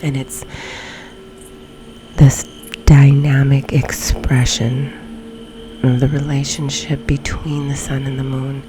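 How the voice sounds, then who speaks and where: A woman leading a guided meditation in a soft, breathy, often near-whispered ASMR delivery, with long pauses between phrases. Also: rumbling low, little pitch movement, poor audio. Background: background music.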